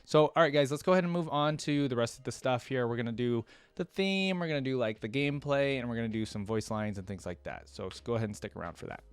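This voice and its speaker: A man's voice: medium pitch, quick pace, hardly any pauses, clear, resonant tone, dry recording. A man hosting a reaction video, commenting casually and thinking aloud, often checking with a tagged-on question.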